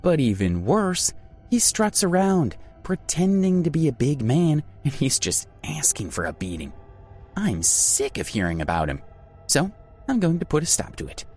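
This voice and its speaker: A man's voice: fairly high pitch, big pitch swings, moderate pace, darkish tone, faint music behind, voice pushed up. A man voicing a ruthless, arrogant young male heir who talks in a cold, dismissive way. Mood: cold, scornful.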